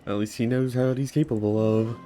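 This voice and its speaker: A woman's voice: a bass voice, steady tone, brisk, mellow. A woman giving casual gameplay commentary in short, wry asides. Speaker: streamer playing a game. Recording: game music under the voice.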